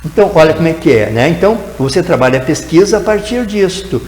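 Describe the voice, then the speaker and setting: A man's voice: fairly high pitch, animated, fast, darkish tone, echoey room, big-room sound, low-quality recording. A man, a guest educator answering in a TV interview, explaining at length and tagging his sentences with "né?". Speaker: guest educator in teacher training.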